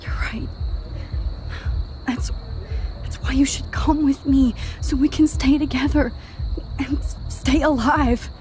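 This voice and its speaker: A woman's voice: high voice, fairly even intonation, darkish tone, faint music behind. A young American woman playing an anxious, earnest teenage girl in a dramatic video game, speaking in a hesitant, pleading way. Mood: remorseful, pleading. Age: young adult. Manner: hesitant, halting, emotionally pleading.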